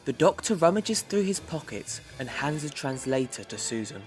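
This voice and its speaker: A man's voice: on the high side, big pitch swings, normal speed, slightly rough. A man narrating a fan audio drama from a script in the third person. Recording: music underneath.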